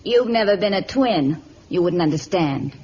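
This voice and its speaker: A woman's voice: on the low side, animated, moderate pace, darkish tone, lightly acted. Woman as a bitter, sardonic young showgirl. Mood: resentful. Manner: curt, sardonic, clipped retorts. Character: bitter, stubborn young showgirl twin sister. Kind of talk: vintage radio melodrama acting. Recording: dull, lightly noisy old radio recording.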